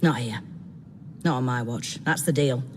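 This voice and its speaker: An actor playing a world-weary London police inspector with a British accent, gruff, wry and guarded, in a video game. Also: male voice, mid-range voice, a little slow, big-room sound, a little hiss or hum, lightly acted. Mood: stern, wry. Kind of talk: scripted video game cutscene acting.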